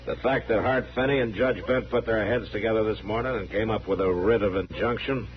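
An actor playing a stern, steady American frontier marshal in a radio western, deep and firm, giving terse, unhurried commands. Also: male voice, lightly acted. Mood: calm, unyielding. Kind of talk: 1950s radio western acting. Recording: muffled, noisy old 1950s radio recording.

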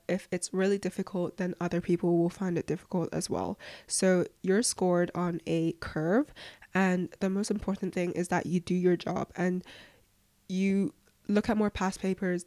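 A young woman with a voice croaky from flu, giving casual, earnest advice as a podcast host answering questions. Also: fairly low pitch, a little mellow, no room sound. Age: young adult.

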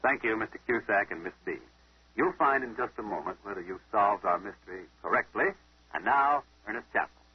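A man's voice: on the low side, animated, brisk, husky. An actor playing a confident amateur detective who methodically questions and explains his deductions in 1940s radio mystery style. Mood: hosting, courteous. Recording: muffled old-time radio broadcast.

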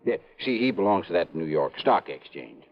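An actor playing a gullible, chatty small-town police chief in a 1950s radio drama, with folksy, rambling, ungrammatical speech. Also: male voice, deep voice, sing-song, moderate pace. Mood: admiring, gullible. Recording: dull vintage radio recording.

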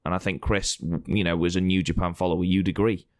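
Hoarse, deep-voiced man. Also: little pitch movement, quick pace, no room sound, studio quality. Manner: blunt and opinionated, swears freely. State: hoarse, croaky throat. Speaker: podcast co-host presenting award results. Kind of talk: casual banter.

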